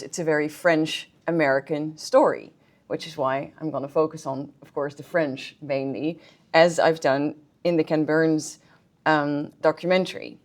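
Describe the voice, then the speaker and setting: A woman's voice: low-pitched, darkish tone. A woman with a Dutch accent giving a friendly, chatty history talk to a library audience as a guest historian. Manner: friendly, chatty, with filler ums and restarts. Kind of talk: public history talk with slides. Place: public library meeting room.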